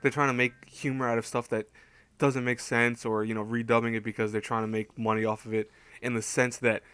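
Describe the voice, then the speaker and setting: A man talks through his opinions as a YouTube host in a casual, unscripted way. His voice sits at an average pitch, and he often hedges, stalls and rephrases as he goes. The recording is dry, with very little room sound. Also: level tone, fairly bright tone, clean tone, dry recording. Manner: hedging, halting, self-correcting.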